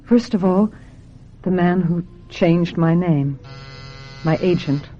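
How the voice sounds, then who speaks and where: A woman's voice: lowish voice, slow pace, warm tone, lo-fi sound, faint music behind. A woman acting in a radio drama as a wistful, sensitive young American woman with a plaintive, earnest delivery. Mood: wistful, reflective. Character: wistful, sensitive young woman, reluctant beauty contestant turned actress.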